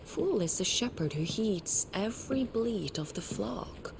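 Haughty villainess for a fantasy game: cruel, deliberate, wide swoops of pitch, clear effects noise behind. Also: female voice, animated. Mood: haughty, menacing. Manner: haughty, theatrical, deliberate phrasing. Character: haughty, cruel female warrior villain, taunting and imperious. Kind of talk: dramatic video game cutscene acting.

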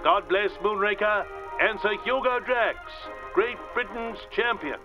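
An actor playing a gushing, patriotic 1950s newsreel announcer in a radio drama, pompous and rousing, through an old newsreel filter. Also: male voice, high-pitched, unhurried. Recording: muffled old newsreel, music behind.

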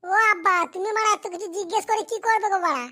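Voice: very high-pitched, rapid-fire, pushed and bright. A performer voicing a brash, foul-mouthed, impatient young bridegroom in a comedy cartoon, with crude, blunt complaining. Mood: annoyed.

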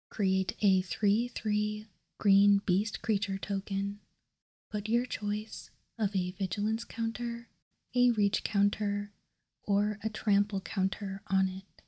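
A woman whispers in a very breathy, airy voice with almost no tone to it. She goes slowly and deliberately in an ASMR style, keeping an even, hushed delivery close to the mic. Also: mid-range voice. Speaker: host. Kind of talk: whispered ASMR card showcase.

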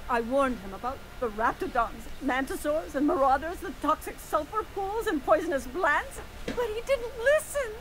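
A woman's voice: sits high, expressive, normal speed, a bit bright, slight background noise, rough recording. A woman playing a frantic, overprotective frontier mother in a video game, folksy and melodramatic. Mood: anxious. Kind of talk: video game character dialogue.

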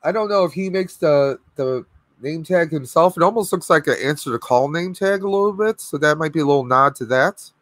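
A man in his thirties hosting a casual live stream from home, a bit hoarse and clearing his throat, talking on a video call in a rambling way. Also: a little high, fairly quick, fairly bright tone, no echo. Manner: chatty, rambling with fillers. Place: home room with collectibles, indoors. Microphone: webcam video call.

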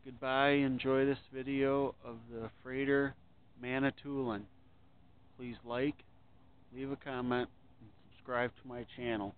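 A man's voice: medium pitch, little pitch movement, unhurried, clean tone. A man vlogging outdoors by a river at night, chatting in a friendly way to his viewers. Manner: friendly, chatty sign-offs. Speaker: ship-watching vlogger. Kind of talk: casual vlog narration. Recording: tinny phone-like camera audio.

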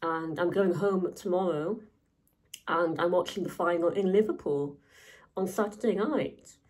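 A woman's voice: lowish voice, a little slow, a little mellow, poor audio. A young woman vlogging casually to camera, chatty and enthusiastic with lots of fillers.

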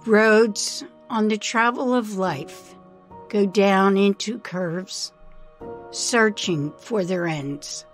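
A woman reciting her own poem with deliberate, measured phrasing. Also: medium pitch. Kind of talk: poetry recitation.

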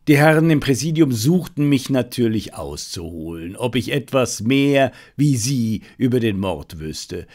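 Self-assured gentleman detective, read by a man, animated. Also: medium pitch. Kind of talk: audiobook character dialogue.

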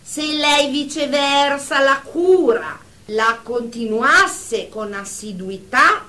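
A woman playing a stern, formal psychotherapist in a satirical radio drama, speaking in a pompous, clinical, deliberate way. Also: sits high, sharp tone, heavily acted. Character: stern, formal female psychotherapist leading group sessions. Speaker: actor playing therapist.

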